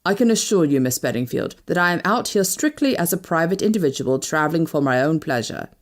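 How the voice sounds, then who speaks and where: Lady reader doing a grim bossy colonel, low voice, firm and clipped, storybook dialogue. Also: animated, brisk. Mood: guarded, formal. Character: stern, commanding British colonel, a grim older man. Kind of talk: audiobook character dialogue.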